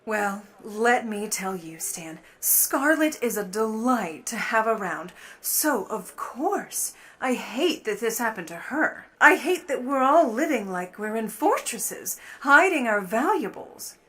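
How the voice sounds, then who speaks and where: A mischievous Hollywood starlet of the 1950s radio stage, played by a woman. Her voice is fairly high and swoops with lively expression, and she talks in an arch, unflappable, teasing way. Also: takes it slowly, hardly any pauses, exaggerated voice. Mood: breezy. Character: poised, mischievous Hollywood starlet and secret burglar. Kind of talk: 1950s radio play acting.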